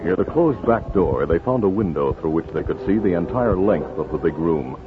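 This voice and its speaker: A man's voice: deep voice, lively intonation, moderate pace. An American man reading dramatic scripted narration for a 1950s radio western. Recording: muffled 1950s radio, music behind.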